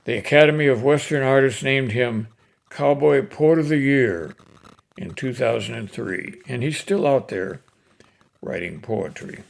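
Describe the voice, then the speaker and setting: Casting: older male poetry reader, folksy and unhurried, slow delivery, gravelly fry, mid-range pitch. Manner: folksy, unhurried, with pauses between phrases. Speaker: host reading cowboy poetry. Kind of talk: casual introduction and expressive poem recitation.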